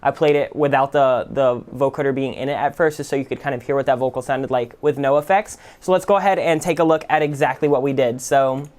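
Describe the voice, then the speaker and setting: A man giving a casual, conversational software tutorial as the host. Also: mid-range voice, fast talker, hardly any pauses. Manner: informal, conversational. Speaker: music production tutorial host. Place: indoors at a computer.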